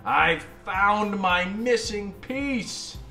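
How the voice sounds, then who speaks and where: Guy doing a hopeful little rolling circle from a kids book, singsongy and earnest, slightly high voice. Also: expressive, extremely slow, sharp tone, echoey room, faint music behind, exaggerated voice. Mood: happy singsong. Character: hopeful, innocent rolling circle searching for its piece. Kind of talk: storybook character voice.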